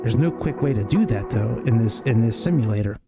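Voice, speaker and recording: A man's voice: mid-range voice, animated, brisk, over loud music. A man giving casual, grumbling, self-deprecating livestream commentary on a flight sim game, swearing freely.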